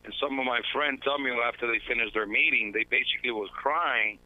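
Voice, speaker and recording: A man's voice: mid-range voice, fairly quick, no room sound. A man on a tinny phone line. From Dominican Republic. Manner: earnest, reflective storytelling. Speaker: baseball manager guest. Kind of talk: phone interview. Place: at home.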